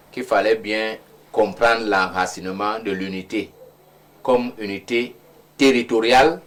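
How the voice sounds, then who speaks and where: A man arguing on a studio debate panel and firing off rhetorical questions. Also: on the low side. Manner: argumentative, fires off rhetorical questions.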